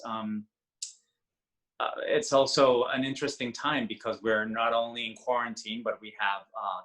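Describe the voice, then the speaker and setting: Bright-voiced man with a Mexican accent. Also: lowish voice, poor audio. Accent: Mexican Spanish. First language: Spanish. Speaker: panel guest, singer. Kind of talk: video call panel discussion.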